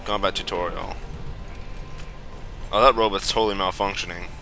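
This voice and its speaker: A man with a fairly low voice comments casually on the video game he is playing. He is crude and sarcastic and swears freely. Game music plays clearly behind him. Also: steady tone, normal speed, pushed and bright. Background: video game music and sound effects.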